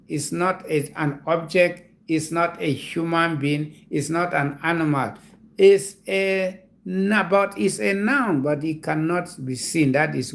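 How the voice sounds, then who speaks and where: A Nigerian man talks slowly on a live comedy stream, lecturing and repeating his points. His voice sits a bit high, with a gravelly rasp. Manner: slow, repetitive, lecturing explanations. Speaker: comedian hosting a livestream. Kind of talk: casual livestream talk.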